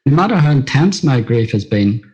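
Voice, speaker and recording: A man's voice: mid-range voice, big pitch swings, fairly quick, darkish tone, highs cut off. A middle-aged man with a Northern Irish accent reads his own scripted personal story in a simple, plain-spoken storytelling manner. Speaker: writer reading own story.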